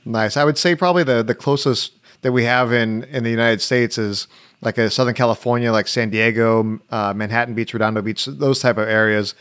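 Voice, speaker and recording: A man hosting a travel podcast, chatting in a relaxed, halting way with many restarts. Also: mid-range voice, clean tone. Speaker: podcast host. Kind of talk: casual interview chat.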